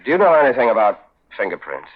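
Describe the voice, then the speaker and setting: An actor playing a haunted fugitive who narrates his crimes in a halting, intense 1940s radio suspense style. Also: mid-range voice, sing-song. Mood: tense. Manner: dramatic, halting, intense delivery. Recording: dull old 1940s radio recording, organ music.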